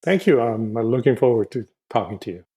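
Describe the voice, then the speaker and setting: An older man from Honduras speaks as a podcast guest with a mid-range voice. He talks in a halting, thoughtful way. He often stumbles and repeats words as he gathers his thoughts. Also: mid-range voice, lively intonation, dark tone. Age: 60s. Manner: halting and reflective, frequent restarts and repeated words. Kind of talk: casual podcast interview.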